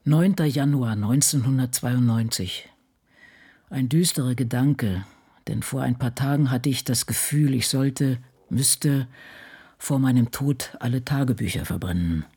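Gravelly, brooding older woman diarist. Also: very deep voice, unhurried, exaggerated voice. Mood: sombre. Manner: brooding, dry, introspective. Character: ageing, world-weary woman writer confiding in her diary. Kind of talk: radio play diary monologue. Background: soft music.

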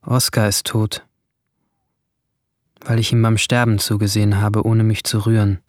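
Man as a low-voiced, brooding teenage boy. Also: flat delivery, normal speed, dry recording, studio quality, lightly acted. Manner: hushed, introspective, at times whispering. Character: brooding, alienated seventeen-year-old boy narrating his guilt. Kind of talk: first-person radio drama narration.

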